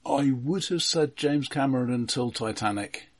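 Male podcast co-host, husky mid-pitched voice, halting starts with repeated words, casual film talk. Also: no room sound.

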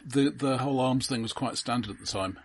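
A man co-hosting a film podcast, explaining his points casually and analytically in a halting way. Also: mid-range voice, breathy edge.

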